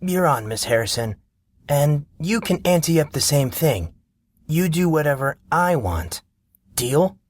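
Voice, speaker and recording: A man's voice: a little high, sing-song, unhurried, dry recording, voice pushed up. A man voicing a cocky, mocking young man. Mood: challenging. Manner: mocking, needling.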